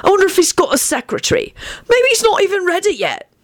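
A woman voicing a brash, vain, hot-headed young man in an audiobook story, loud and cocky. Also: very high voice, wildly swooping pitch, quick pace, dry recording, clean studio sound, pitched above the performer's own voice, exaggerated voice. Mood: irritated, sulky.

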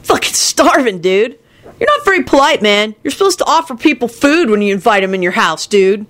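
A woman's voice: fairly high pitch, big pitch swings, a little fast, fairly bright tone, voice pushed up. A woman voicing a rude, whiny young man who is stoned, shrieking and drawling. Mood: demanding.

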